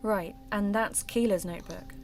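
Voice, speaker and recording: An actress playing an inquisitive, considerate podcast host, composed and gently probing in an audio drama. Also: female voice, medium pitch, moderate pace, music in the background, lightly acted. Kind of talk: scripted podcast narration and interview.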